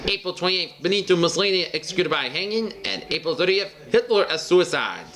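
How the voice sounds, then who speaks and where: A man's voice: high-pitched, animated, a little fast, over music. A man narrating a scripted newsreel, reading dated events in a clipped, announcer-like way.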